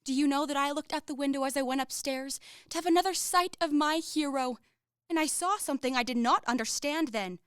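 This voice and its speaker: Woman as a haughty high-voiced young lady. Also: brisk, a bit bright, no room sound, clean studio sound. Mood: hurt, accusing. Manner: haughty, melodramatic. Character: proud, indignant young lady, romantic and cuttingly sarcastic. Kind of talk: stage-style radio play acting.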